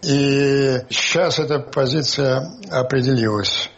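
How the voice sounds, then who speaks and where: A man's voice: medium pitch, slow, gravelly, fairly bright tone. A male political analyst giving assertive commentary as a guest over a remote connection. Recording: dull lo-fi remote link.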